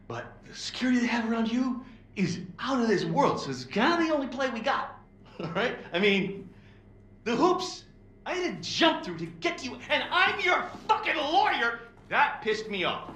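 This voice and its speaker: Game rebel: bright, edgy voice, roomy echo, profane fast talker with wild energy. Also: male voice, high-pitched, heavily acted. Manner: profane, animated, slangy. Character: fast-talking sweary rebel posing as a lawyer, wild and wired. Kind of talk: video game cutscene acting.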